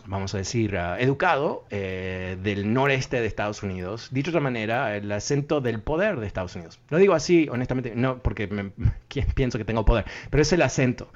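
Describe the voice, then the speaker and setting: A man's voice: mid-range voice, animated, fairly quick. A man hosting a radio talk show, giving earnest commentary and thinking aloud with many hesitations and fillers. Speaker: radio host. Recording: muffled lo-fi radio broadcast.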